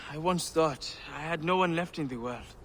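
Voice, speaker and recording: A somewhat high, weary young man in a game cutscene. He sighs often and sounds wry and tired, with faint background noise. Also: takes it slowly.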